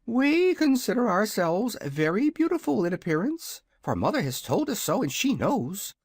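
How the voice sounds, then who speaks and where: A reader voicing a proud, hungry young dragon in a storybook, with a high, drawling, haughty manner. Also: very animated, normal speed, slightly rough, no echo, studio quality. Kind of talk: audiobook character voice.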